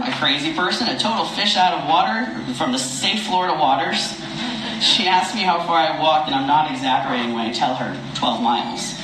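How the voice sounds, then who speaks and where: Echoey noisy recording, male storyteller, wry and expressive, self-deprecating first-person narration. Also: medium pitch. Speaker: reader of a story. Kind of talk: dramatic reading of a first-person comic story. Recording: echoey room with clear background noise.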